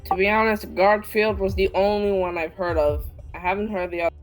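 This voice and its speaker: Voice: mid-range voice, fairly even intonation, fairly quick, soft background music, low-quality recording. A contestant in a quiz game, chatting casually and unsure about his answers.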